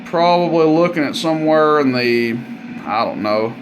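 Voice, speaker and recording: Higher-voiced man demoing gear over background noise. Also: animated, normal speed. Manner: plain-spoken, folksy, informal. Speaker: product demonstrator and seller. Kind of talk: casual hands-on radio demo narration.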